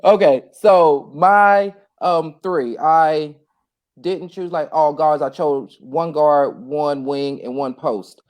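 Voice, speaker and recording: A man as a podcast guest in a casual sports chat, playful and thinking out loud as he weighs his choices. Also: a little high, fairly even intonation, takes it slowly.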